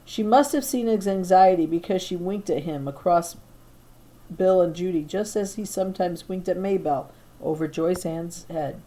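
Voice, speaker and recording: A woman teacher reading a storybook aloud to her class, expressive, now and then stumbling and repeating a word. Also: lowish voice, normal speed, rough recording. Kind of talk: storybook narration read aloud.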